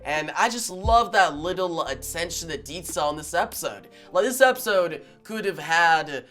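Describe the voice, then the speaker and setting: A man's voice: fairly high pitch, expressive, moderate pace, bright, forceful tone, soft background music. A man giving casual, slangy reaction commentary as a video host, exclaiming with emphasis.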